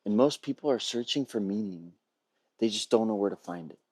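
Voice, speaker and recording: A man presents a prepared pitch in a low register with a husky, rough-edged tone. He speaks in measured, earnest phrases, like a storyteller working from notes. The sound is dry, with very little room to it, as on a video call. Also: expressive, darkish tone, dry recording. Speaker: filmmaker pitching a feature film.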